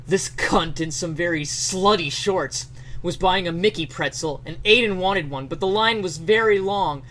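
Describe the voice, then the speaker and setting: A man's voice: moderate pace, a bit bright, rough recording. A man voicing an enraged, entitled, foul-mouthed mother in a whiny, overwrought dramatic reading. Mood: wounded, whiny. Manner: shrill, dramatically overwrought ranting.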